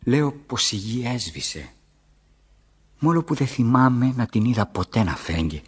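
Fed up servant in an old absurd stage play on radio, husky, sardonic, voice swings up and down. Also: male voice, mid-range voice, very animated. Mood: resigned, brooding. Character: weary, resentful male servant in an absurdist play. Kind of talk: radio theatre acting in Greek.

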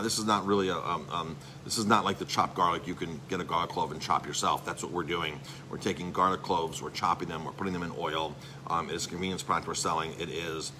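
A man's voice: deep voice, bright, forceful tone, slight background noise. A middle-aged man, a chef and restaurant owner, giving a rambling, salesy live-stream update with lots of filler words.

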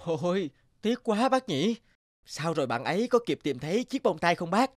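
Eager young cartoon thing, childlike and curious, raised pitch, very animated. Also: male voice, moderate pace, background noise. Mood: sympathetic. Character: eager young talking object, childlike listener.

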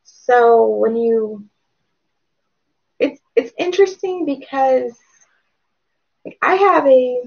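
A woman's voice: sits high, animated, takes it slowly, gravelly, long pauses, slightly rough. A woman with a Midland American accent from Missouri, giving a chatty webinar lesson over a webcam with lots of fillers. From Midwestern United States. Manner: chatty, many fillers and restarts. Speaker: webinar presenter. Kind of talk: casual slideshow lecture. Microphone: webcam on a computer. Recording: dull lo-fi webinar audio.

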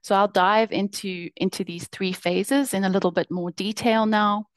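A young woman presenting her research over slides on a video call, explaining in a measured way with occasional fillers and restarts. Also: mid-range voice, fairly even intonation, no room sound.

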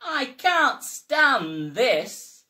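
A sleepless storybook bear, acted out by a man, wails in exasperation. The voice jumps high and loud, and the words are stretched out very slowly with great melodrama. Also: big pitch swings, full character voice. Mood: exasperated, wailing. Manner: drawn-out, wailing, melodramatic.

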